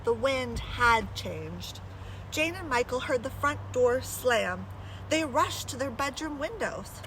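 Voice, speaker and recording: A woman's voice: sits high, expressive, takes it slowly, fairly bright tone. A woman reading a children's book aloud expressively, with chatty asides and questions to young listeners, recorded outdoors. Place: outdoors in blustery wind. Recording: very noisy, windy outdoor recording with traffic. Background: wind, urban ambience, vehicles.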